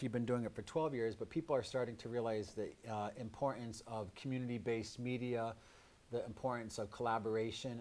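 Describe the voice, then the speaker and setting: A man hosting a live community radio and TV show, speaking in a halting way with measured pauses. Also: mid-range voice, a little mellow.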